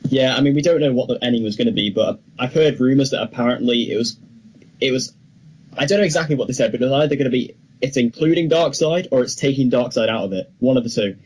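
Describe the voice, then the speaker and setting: Husky-voiced man chatting about films. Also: mid-range voice, fast, a little mellow, low-quality recording. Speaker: podcast co-host. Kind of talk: casual film news chat.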